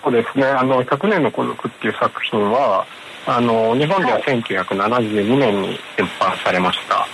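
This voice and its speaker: Hombre japonés de habla rápida, con siseo. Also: male voice, mid-range voice, a little fast. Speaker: publishing professional, interview guest. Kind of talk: explanatory interview answer. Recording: hissy remote radio link.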